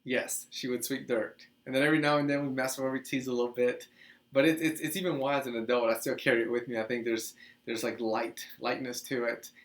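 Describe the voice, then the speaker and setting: A man in his thirties, from the Dominican Republic, hosting a casual teaching video, talking with lively hand gestures in a small office. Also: mid-range voice, a little fast. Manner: animated, gestures a lot, chatty with restarts. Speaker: host. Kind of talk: casual teaching monologue to camera. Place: small office with couch, indoors.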